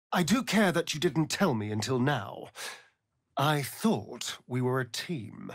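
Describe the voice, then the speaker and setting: An actor playing a sardonic, flamboyant, seductive vampire rogue in a fantasy video game, with an arch, theatrical drawl. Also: male voice, fairly high pitch, fairly bright tone, no echo, studio quality, heavily acted. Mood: hurt, resigned.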